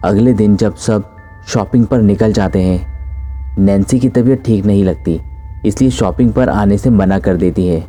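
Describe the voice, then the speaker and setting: A man's voice: on the low side, unhurried, mellow, soft background music. A man narrating a scripted horror story as its storyteller.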